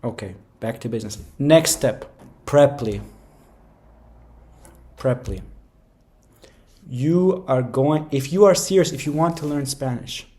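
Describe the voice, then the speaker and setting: A man's voice: medium pitch, slow pace, pauses often, warm tone. A man giving casual, rambling how-to advice, speaking informally and emphatically and often repeating phrases for stress. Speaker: language-learning video creator.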